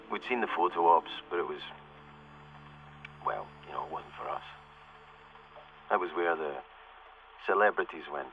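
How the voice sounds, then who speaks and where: Very deep-voiced, weary security corporal. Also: male voice, creaky, lo-fi sound, full character voice. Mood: wry, bitter. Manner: halting, rueful, confiding, with pauses and self-corrections. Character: weary, cynical male security corporal, survivor of flooded world. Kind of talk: in-character audio diary monologue, dramatic game acting.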